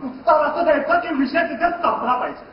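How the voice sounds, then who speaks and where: A man's voice: very high voice, brisk, noisy background. A man playing an obedient, earnest young trainee in theatrical stage comedy. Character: obedient, earnest young male trainee answering his coach. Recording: echoey, distant, muffled stage recording.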